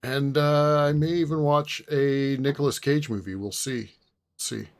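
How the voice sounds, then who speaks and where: A man with a medium-pitched voice hosts a relaxed panel podcast. He feels his way through sentences with hesitant, meandering phrasing. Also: a little slow. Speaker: podcast host. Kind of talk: casual panel chat.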